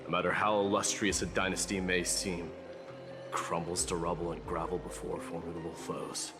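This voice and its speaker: An actor playing a solemn, resolute warrior king turned god in a video game, speaking in a grave, commanding, formal way. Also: fairly low pitch. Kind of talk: dramatic video game voice acting. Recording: loud music under the voice.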